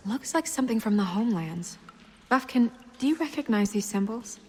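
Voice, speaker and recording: A woman playing a composed but uneasy young official in a video game, speaking with restraint and precision in a soft, husky voice. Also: on the high side, lively intonation, moderate pace. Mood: analytical, troubled. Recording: long hall reverb.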